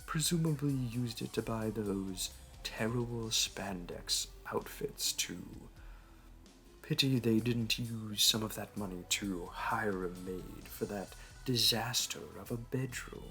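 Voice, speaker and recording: A man's voice: lowish voice, slow, sharp tone, faint music behind, exaggerated voice. A man playing a suave, flirtatious supervillain in a roleplay audio drama, smug, teasing and theatrical. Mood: mocking amusement. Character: smug, flirtatious male supervillain courting a hero.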